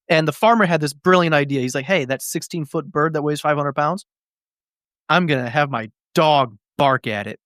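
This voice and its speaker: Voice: medium pitch, fairly bright tone, dry recording, clean studio sound. A podcast host recapping things in a casual, comedic way, with slangy, profane, jokey storytelling.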